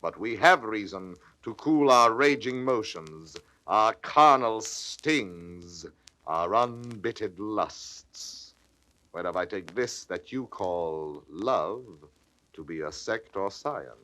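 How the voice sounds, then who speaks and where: A scheming, cynical young soldier in a classical radio play. His low voice is bright and forceful and swings freely in pitch. He declaims with mocking persuasion, like a stage villain at work. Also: lively intonation, slow, full character voice, rough recording. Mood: mocking, scornful. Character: scheming cynical soldier, male villain, aged twenty-eight.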